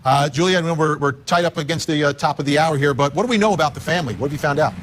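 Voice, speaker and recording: A man anchoring live news and interviewing in a conversational, slightly halting manner. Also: fairly high pitch, fairly bright tone, slight background noise.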